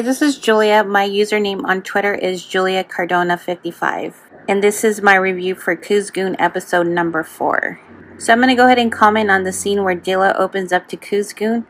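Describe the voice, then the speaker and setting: A woman giving a prepared, earnest review in a voice note as a podcast listener, in a measured way. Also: on the low side, fairly bright tone. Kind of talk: prepared review, partly reading out lyrics.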